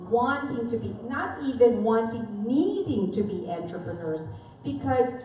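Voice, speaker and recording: A woman from the Philippines presents to a live audience in a relaxed, conversational way, often pausing to check in with the room. Her voice sits a little high and is picked up from well back, washed in heavy hall echo with faint ambient noise underneath. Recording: echoey hall from far off.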